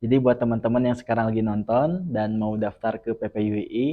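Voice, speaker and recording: A young man from West Java, explaining earnestly and at a measured pace as a student guest on a podcast. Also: fairly low pitch, fairly even intonation, narrow, tinny audio. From West Java, Indonesia. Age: young adult. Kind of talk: podcast interview.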